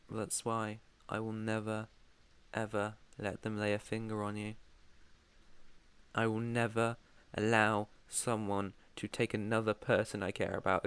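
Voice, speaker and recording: Man playing a low, soft-spoken British vampire master. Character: protective male vampire master, haunted, tender. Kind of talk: intimate ASMR roleplay monologue.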